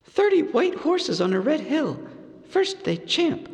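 A man's voice: very high voice, big pitch swings, long reverb tail, pitched above the performer's own voice, full character voice. A man voicing a small, anxious hobbit who is polite and flustered in a storybook reading. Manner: quick, flustered, eager to please.

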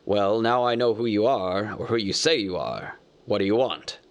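A man reading a storybook aloud, voicing a huge, gruff, bearded man who growls his blunt, wary questions. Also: fairly low pitch, normal speed, lo-fi sound, voice pushed down, close to an everyday voice.

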